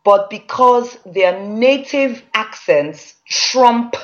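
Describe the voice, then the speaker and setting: A woman with a Nigerian accent, a public speaking trainer teaching a masterclass in an emphatic, lively way. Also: on the high side, very slow, muffled recording.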